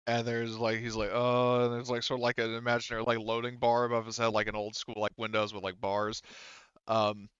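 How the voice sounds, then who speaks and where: A man's voice: on the low side, completely flat delivery, brisk, fairly bright tone, smooth, no echo, muffled recording. A man narrating a tabletop game as game master in a casual, rambling way. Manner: rambling, loose phrasing heavy with "like".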